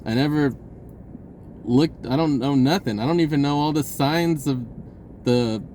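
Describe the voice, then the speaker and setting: A man's voice: mid-range voice, a little slow. Rambling, stammering man over faint background noise. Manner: blurting, self-interrupting, stammering over phrases; excitable, re-enacts conversations in quoted speech. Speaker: personal storyteller. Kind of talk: casual rambling vlog monologue.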